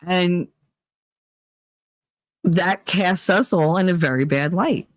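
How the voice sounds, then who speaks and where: A woman's voice: mid-range voice, dry recording. A woman hosting a vlog, giving blunt, rambling opinions with plenty of swearing. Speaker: vlog host. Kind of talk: casual opinion monologue.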